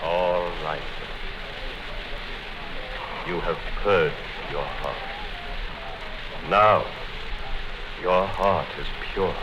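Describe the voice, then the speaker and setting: An actor playing a calm, coldly menacing male interrogator in a 1950s radio drama. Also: low-pitched, drawn-out words, long pauses. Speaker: O'Brien. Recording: dull noisy 1950s radio broadcast.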